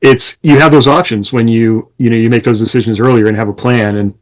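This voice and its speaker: Man chatting reflectively, medium pitch. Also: steady tone, brisk, rough recording. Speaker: podcast co-host. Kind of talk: casual chat, personal anecdotes.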